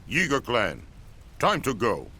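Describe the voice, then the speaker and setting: An actor playing a sinister assassin leader in a video game, menacing and mock-courteous. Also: male voice, medium pitch, wildly swooping pitch, a little slow, noisy background. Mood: cold menace. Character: sinister, calm male villain and assassin leader. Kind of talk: theatrical video game cutscene acting.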